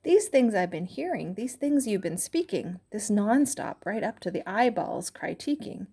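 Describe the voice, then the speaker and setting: A woman's voice: mid-range voice, very animated, normal speed, warm tone, breathy edge. A woman voicing a brash young man scolding the others in rhyme. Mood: indignant. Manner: brash, indignant.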